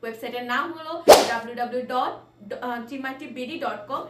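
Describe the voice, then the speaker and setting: A woman with a Bangladeshi accent presenting a product demonstration in an informal, explanatory, somewhat halting way, mixing in English words. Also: high voice. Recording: echoey room, distant mic, faint noise.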